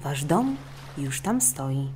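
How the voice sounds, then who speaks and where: A woman voicing a small magical golden fish queen in a storybook, soft, courteous and calm. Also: low voice, slow, slight background noise, exaggerated voice. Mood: gracious.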